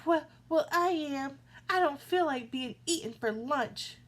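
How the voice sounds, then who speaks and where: A nervous young billy goat from a storybook, stammering and fretting, as a woman puts him on. His voice sits high and thin above the storyteller's own, and it runs loud and wobbly with worry. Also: a little slow. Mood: fretful. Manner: stammering, fretful. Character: timid nervous middle billy goat, male.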